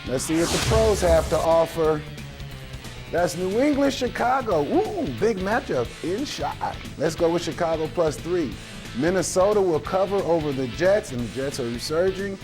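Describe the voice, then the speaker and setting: A man with a slightly raspy voice reading out football picks on a sports show. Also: on the high side, normal speed, over music. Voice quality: a little raspy. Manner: lively picks readout. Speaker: co-host.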